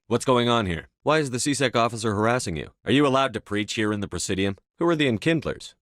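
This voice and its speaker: A man's voice: lowish voice, fairly bright tone, no echo, clean studio sound. A man playing a resolute, blunt military commander in a science fiction video game, speaking firmly and tersely with authority. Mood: firm, questioning. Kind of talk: scripted game dialogue.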